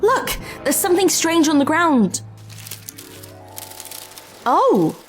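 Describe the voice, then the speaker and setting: High-voiced, curious woman adventurer. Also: very animated, slow, faint music behind, heavily acted. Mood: intrigued. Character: curious, brave, level-headed young woman adventurer. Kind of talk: audio drama acting.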